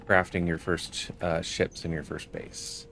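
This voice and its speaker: A man's voice: low-pitched, level tone, a little slow, a bit bright, faint music behind. A man giving explanatory walkthrough commentary as the host of a gaming video. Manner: explanatory, reads game text aloud.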